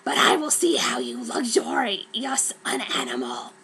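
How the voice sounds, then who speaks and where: A woman voicing a menacing male broom out for revenge, in a slow, harsh, breathy whisper for a dramatic storybook reading. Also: a little high, wildly swooping pitch, sharp tone, a little hiss or hum, heavily acted, poor audio. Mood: scheming. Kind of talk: dramatic fan fiction reading.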